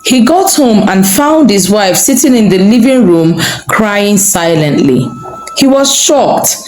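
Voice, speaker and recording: A woman's voice: medium pitch, expressive, measured pace, a little mellow, echoey room, slight background noise, rough recording. A woman storyteller reading a scripted folktale narration.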